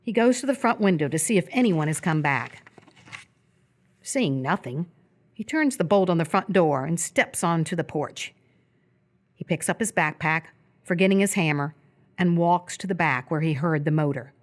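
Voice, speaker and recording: Slightly low-voiced woman narrator. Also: animated, moderate pace. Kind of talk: scripted narration of a screenplay for live radio theatre.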